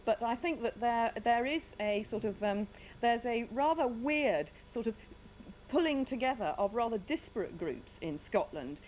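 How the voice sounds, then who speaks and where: Hesitant woman debating, high voice. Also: lively intonation, normal speed, darkish tone, a little hiss or hum, poor audio. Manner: hesitant, many restarts. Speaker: studio guest. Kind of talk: heated debate.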